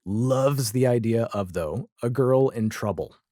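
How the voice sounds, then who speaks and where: A man's voice: mid-range voice, a little slow, mellow, no echo, clean studio sound. An American man in his forties chatting casually and anecdotally as a podcast guest. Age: 40s. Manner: chatty, acts out imagined dialogue. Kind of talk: casual anecdotal interview chat.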